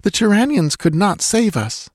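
Fierce young woman, breathless and urgent, raised pitch, read by a man. Dry close sound. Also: very animated, moderate pace, darkish tone, dry recording.

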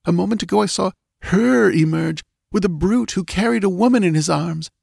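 A man's voice: high voice, normal speed, vocal fry, a little mellow, no room sound, studio quality, voice pushed up, heavily acted. A man voicing a frantic young girl who sobs as she speaks, reading a storybook scene. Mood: tearful urgency.

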